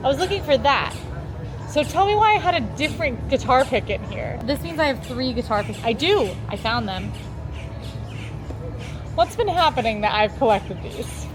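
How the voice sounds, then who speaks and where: A woman's voice: high-pitched, sing-song, normal speed, over music, rough recording. A woman co-hosting an outdoor vlog, chatting and reviewing casually off the cuff. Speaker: vlog co-host. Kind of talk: casual unscripted banter and review. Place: outdoors at a shopping and dining complex.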